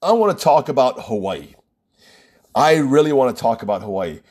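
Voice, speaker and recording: A man's voice: mid-range voice, very animated, moderate pace, a little mellow. A man with an American accent hosting a solo podcast, talking emphatically and rhetorically, often tossing in "right?". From United States. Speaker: podcast host. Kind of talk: opinionated solo podcast monologue.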